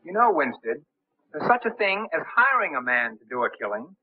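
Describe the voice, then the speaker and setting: Desperate young man, husky, swooping voice. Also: fairly high pitch, sing-song, brisk. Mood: desperate, pressing. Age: young adult. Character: desperate, agitated young gambler. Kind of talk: 1930s film acting. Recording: tinny 1930s film soundtrack.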